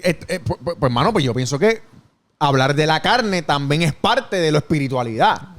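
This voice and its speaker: A man, chatting casually on a podcast and thinking aloud in earnest, with pauses and restarts. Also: on the high side, animated, a bit bright. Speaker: podcast co-host. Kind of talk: casual chat.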